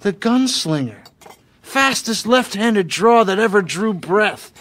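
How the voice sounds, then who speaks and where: A man's voice: high-pitched, expressive, takes it slowly, fairly bright tone, heavily acted. A man playing a grandiose, fussy writer, florid and theatrical, in a video game cutscene. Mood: grandiose, exasperated.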